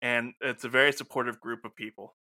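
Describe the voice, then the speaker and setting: A man's voice: medium pitch, steady tone, a little fast, sharp tone, no echo. A man co-hosting a podcast, chatting casually and jokingly in an informal way. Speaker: podcast co-host. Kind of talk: casual banter.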